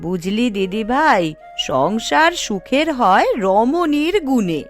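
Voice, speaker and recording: A woman voicing a kindly, tired elderly village grandmother in a cartoon, speaking affectionately and slowly. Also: on the high side, sing-song, soft background music. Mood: contented, fond. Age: elderly figure. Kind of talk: Bengali family cartoon voice acting.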